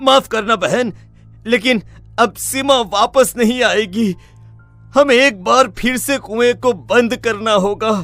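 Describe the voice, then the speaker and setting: A man's voice: very high voice, takes it slowly, fairly bright tone, no room sound, soft background music, heavily acted. A man playing a worried, resigned villager in a cartoon horror story, grave and fretful.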